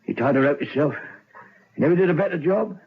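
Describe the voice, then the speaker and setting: A man's voice: a little high, fast, breathy edge. An actor playing a drunken, haunted prison hangman in a radio drama, rambling and agitated as he raves about apparitions. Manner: slurred, rambling, agitated, repeating himself. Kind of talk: old radio drama acting. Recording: dull lo-fi vintage radio recording.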